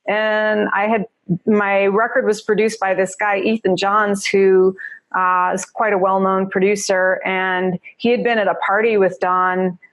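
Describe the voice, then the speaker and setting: A woman's voice: medium pitch, flat delivery, measured pace. A woman with a New Jersey accent, a mindfulness teacher chatting as a podcast guest, laughing often and talking with many fillers. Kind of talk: casual podcast interview.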